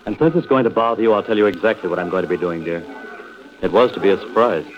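An actor playing a smooth, evasive cheating husband in a placating, persuasive manner, in 1940s radio drama style. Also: male voice, on the low side, quick pace, soft background music, close to an everyday voice. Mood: smoothly persuasive. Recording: dull, lo-fi old radio recording.

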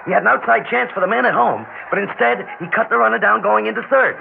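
A man's voice: high-pitched, fast talker, creaky. An actor with an American accent playing a fond, childlike working-class father and baseball fan in a 1960s radio drama, chatty and folksy. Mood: eager, fond. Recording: noisy lo-fi old radio recording.

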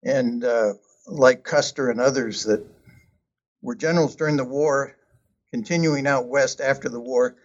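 A man talking about his book in a casual, hesitant way, with many ums and uhs and frequent pauses. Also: medium pitch. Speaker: author discussing his book. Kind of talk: casual conversational talk. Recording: dull lo-fi sound.

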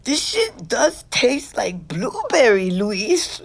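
An actress playing a streetwise, weary middle-aged ex-convict who narrates an audio drama in a frank, wistful, conversational way with slangy swearing. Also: female voice, on the high side, very animated, gravelly, no room sound, exaggerated voice. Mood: mellow, amazed. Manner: conversational, halting, profane slang. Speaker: lead actor and narrator in scripted fiction podcast. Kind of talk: intimate first-person audio drama narration.

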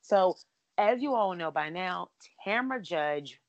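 Raspy lady podcast host, lowish voice, dry sound, says like a lot. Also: expressive, no echo, lo-fi sound. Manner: chatty, fast-talking with many "like" and "literally". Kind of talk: casual chat. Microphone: remote call, cutting out at times.

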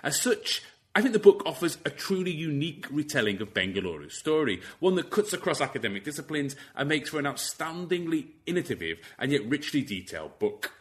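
A man's voice: on the high side, sing-song, brisk, fairly bright tone. A man hosting an academic podcast, reading introductions and putting questions to a guest. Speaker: podcast host. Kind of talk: scripted introduction and interview questions.

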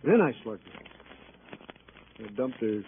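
A cornered American murder suspect pleads and dodges with a mid-range, highly animated voice. It is old radio drama, thin and tinny with faint noise. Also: male voice, slow pace, creaky, heavily acted. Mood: agitated confessing. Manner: pleading, evasive, stammering. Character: cornered male murder suspect, defensive and wheedling. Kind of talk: 1950s radio crime drama acting. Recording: tinny, noisy vintage radio recording.

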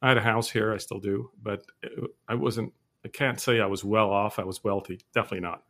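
Interview guest, male, 40s, from Lebanon; low, flat, steady storyteller. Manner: steady, measured storytelling with frequent fillers. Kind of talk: casual interview.

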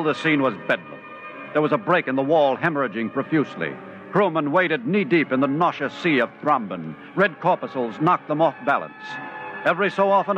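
An actor narrating a science fiction story in a grave, dramatic 1950s radio style. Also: male voice, a little high, natural voice. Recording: dull old radio, music underneath.

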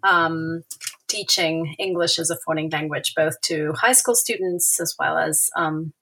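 Casual: argentine woman, spanish native, kinda low but bright tone, lots of um and restarts. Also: a little slow, breathy edge, poor audio. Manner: thoughtful, many hesitations and fillers. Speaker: guest author, historian. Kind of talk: academic interview.

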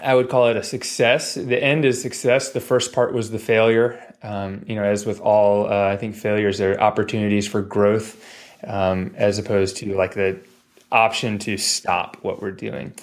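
A man in his forties telling stories casually as a podcast guest, rambling and digressing with jokey asides. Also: fairly low pitch. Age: 40s. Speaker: podcast guest, physical therapist. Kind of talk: casual storytelling in a podcast interview.

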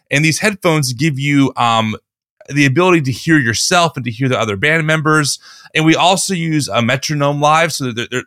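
A man with a slightly hoarse voice hosts a casual podcast chat, telling a long story with relaxed restarts. His tone is bright and forceful. He is recorded close on a dry studio mic. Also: a little high, dry recording. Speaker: podcast host.

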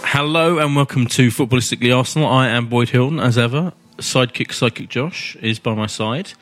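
A man's voice: medium pitch, brisk, fairly bright tone, soft background music. A man hosting a chatty football podcast while he has a cold, sounding stuffed up and joking at his own expense. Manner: chatty, self-mocking, restarts a lot. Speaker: podcast host. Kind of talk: casual chat.

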